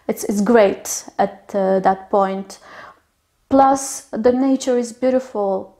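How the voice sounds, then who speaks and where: A young woman from Bulgaria who grew up in Turkey, answering interview questions slowly with many fillers and restarts. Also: on the high side, a little mellow. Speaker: interviewee, literary agent.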